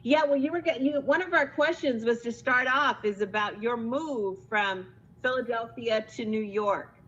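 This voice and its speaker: A woman's voice: on the high side, moderate pace, fairly bright tone. A woman interviewing a guest as co-host in a conversational style. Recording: dull lo-fi, faint noise.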